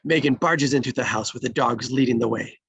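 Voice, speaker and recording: Gravelly, husky screenplay narrator. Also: medium pitch, brisk, a bit bright, no room sound. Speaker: stage-direction reader. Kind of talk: scripted narration, screenplay table read.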